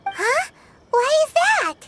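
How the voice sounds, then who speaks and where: A woman voicing a small, floating, childlike fairy companion in an anime game, excitable and curious, with a squeaky voice. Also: takes it slowly, over loud music, heavily acted. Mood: curious, chatty.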